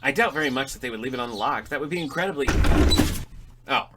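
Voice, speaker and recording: A man's voice: mid-range voice, animated, quick pace, noisy background, natural voice. Man acting as a dry, grumbling dad. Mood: grumbling, impatient. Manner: dry, sarcastic. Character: wry, grumbling, uneasy father exploring an inherited house. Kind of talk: audio drama comedy acting.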